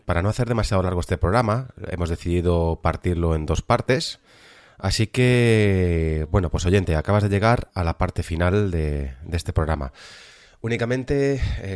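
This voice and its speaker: Low-voiced Spanish man hosting a podcast. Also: darkish tone, no echo. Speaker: podcast host. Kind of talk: informal podcast narration.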